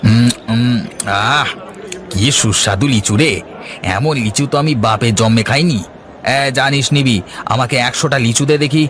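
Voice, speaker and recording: A man's voice: mid-range voice, normal speed, a bit bright, slight background noise, close to an everyday voice. A man playing a chatty, curious village customer in a cartoon fairy tale, with a familiar, hearty manner. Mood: delighted.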